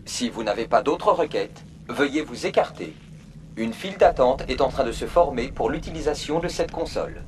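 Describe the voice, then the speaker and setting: A man's voice: mid-range voice, expressive, moderate pace, a little mellow, a little hiss or hum, close to an everyday voice. A man playing a polite, emotionless virtual assistant program in a video game, speaking formally and procedurally. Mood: impassive, procedural. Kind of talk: French video game dub, formal information readout.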